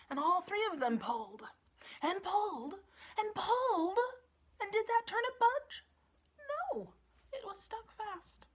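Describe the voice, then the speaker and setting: A woman with a fairly high voice tells a children's tale. Her delivery is very animated, rising and falling widely as she builds drama through emphasis. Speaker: librarian storyteller.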